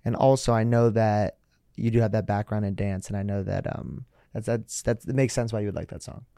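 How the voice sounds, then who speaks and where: Upbeat young male host, mellow tone. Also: lowish voice, steady tone, fairly quick, creaky, no echo, clean studio sound. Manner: upbeat, chatty, many likes. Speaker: podcast host. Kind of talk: casual interview chat.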